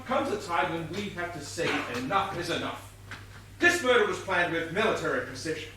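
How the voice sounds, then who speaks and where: A man's voice: sits high, fairly bright tone. Male actor as police inspector, stage whodunit, stern, declamatory, distant echoey theatre recording, faint noise. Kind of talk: amateur one-act stage play acting. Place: indoor theatre stage before an audience.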